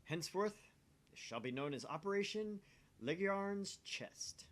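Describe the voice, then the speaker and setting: A man's voice: sits high, expressive, a little slow, fairly bright tone, pitched above the performer's own voice. A man voicing an intense, theatrical young self-styled mad scientist from a visual novel, with grandiose, urgent delivery.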